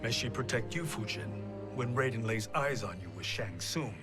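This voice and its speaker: An actor playing an earnest heroic fighter in a fantasy video game. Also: male voice, mid-range voice, animated, normal speed, natural voice. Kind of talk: dramatic video game acting. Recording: loud game music underneath.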